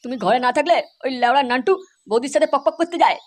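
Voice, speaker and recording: A woman's voice: high voice, racing, natural voice. A woman voicing an eager, foul-mouthed young male sidekick who tattles to his boss in slang, in a crude comedy cartoon. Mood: urgent, tattling.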